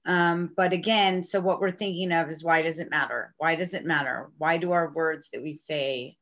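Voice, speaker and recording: A woman's voice: sits low, steady tone, moderate pace, fairly bright tone. A woman presenting a conference workshop, repeating prompts for the audience.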